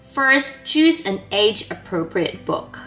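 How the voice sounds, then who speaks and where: Female educator, 30s, medium pitch, orderly scripted explainer delivery, music underneath. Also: sing-song, slow pace, fairly bright tone. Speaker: early childhood educator giving parenting tips. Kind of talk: scripted instructional presentation to camera.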